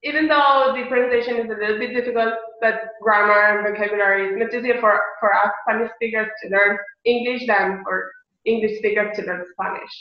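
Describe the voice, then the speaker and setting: A chatty eighteen-year-old woman with a fairly high voice talks in an echoey, dull-sounding room. She often laughs as she chats on a video call. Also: fairly even intonation, fairly quick. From Colombia. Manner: chatty, laughing, second-language English. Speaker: language exchange student. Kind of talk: casual video call chat.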